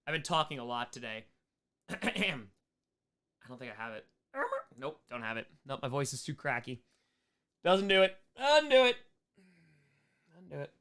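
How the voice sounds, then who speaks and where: A man with a bright, clear voice hosts a casual live chat. His pitch jumps around a lot in an animated way. He jokes and often repeats short phrases, like a streamer answering viewers.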